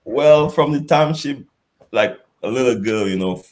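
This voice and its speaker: A man with a Dominican accent, a chef answering interview questions in a relaxed, reminiscing way. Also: medium pitch, a little slow. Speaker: chef guest.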